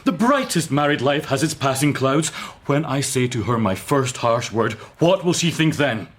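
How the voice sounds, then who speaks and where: Proud, secretive gentleman played by a man. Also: medium pitch, animated, normal speed, natural voice. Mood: bitter, angry. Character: secretive, bitter, proud Victorian gentleman husband. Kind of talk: period radio drama acting.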